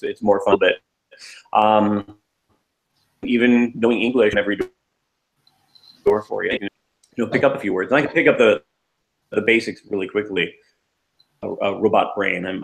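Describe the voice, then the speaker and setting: A man's voice: on the low side, gravelly, poor audio. A man co-hosting a casual podcast chat, rambling with many restarts and fillers. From United States. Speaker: podcast co-host.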